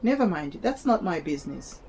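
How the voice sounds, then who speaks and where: A woman's voice: on the low side, big pitch swings, a little fast, darkish tone, a little hiss or hum, rough recording. A woman with a South African and Malawian English accent, not a native English speaker, reads a history book aloud to her viewers with wondering asides and often stumbles and repeats words. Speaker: vlogger reading aloud.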